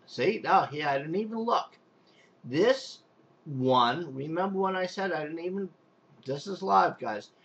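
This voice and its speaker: A man's voice: a little high, a bit bright, dull audio, a little hiss or hum. A man with a strong Long Island accent, a pool and spa technician giving an unscripted, rambling how-to demonstration with many restarts and fillers. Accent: Long Island New York.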